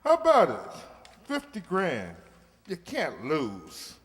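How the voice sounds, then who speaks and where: A man's voice: fairly high pitch, huge pitch swings, slow pace, full character voice. A man playing a slick, pushy gang leader in an old-time radio crime drama, tough-talking, streetwise and wheedling. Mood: coaxing. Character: slick, pushy crook leader, bargaining and threatening. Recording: echoey hall stage recording.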